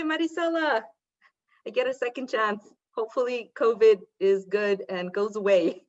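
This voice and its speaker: A woman's voice: mid-range voice, muffled recording. Woman cheerfully reading a report over a call. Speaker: committee chair giving a report. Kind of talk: reading a report at a virtual meeting.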